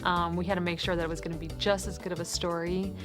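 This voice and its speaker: A woman's voice: lowish voice, level tone, fast. A woman from a film production team speaking in a documentary interview, explaining the work. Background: music.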